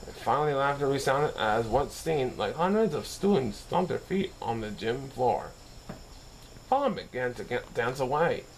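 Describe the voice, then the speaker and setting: A man's voice: medium pitch, expressive, moderate pace, a little hiss or hum, poor audio. A man reading a story aloud expressively, occasionally stumbling over a word and correcting himself. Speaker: storybook reader. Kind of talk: scripted story narration read aloud.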